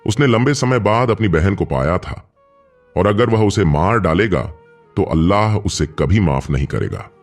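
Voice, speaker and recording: Guy with a low voice reading a dramatic moral story, music in the back. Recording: music bed behind the narration. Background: background music.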